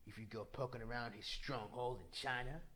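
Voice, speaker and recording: A man's voice: mid-range voice, fairly even intonation, fairly quick, bright, forceful tone, lightly acted. A man voicing a sly female alligator voodoo priestess from a video game, theatrical, drawling and taunting. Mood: grudging warning. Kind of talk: video game villain fan voice-over.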